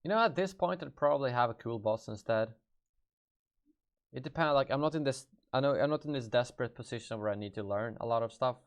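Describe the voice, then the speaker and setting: A man's voice: medium pitch, quick pace. A young man with a Swedish accent, reading viewer questions aloud on a live stream and answering them off the cuff, thinking aloud as he goes. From Sweden. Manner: thinks aloud, hesitant and offhand. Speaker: gaming YouTuber.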